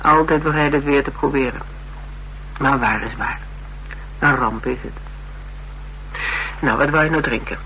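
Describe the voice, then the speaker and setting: An actor playing a direct, inquisitive Dutch detective in a radio play, with a drily teasing, businesslike manner. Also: male voice, mid-range voice, measured pace, long pauses, bright, forceful tone, a little hiss or hum, poor audio. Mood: probing, dry. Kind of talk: naturalistic radio play dialogue.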